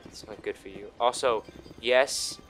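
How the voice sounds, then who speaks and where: A man's voice: lowish voice, fairly even intonation, unhurried. A man giving casual, rambling, sweary commentary as he plays a video game. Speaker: gaming video host. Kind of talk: casual let's play commentary. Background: faint game music.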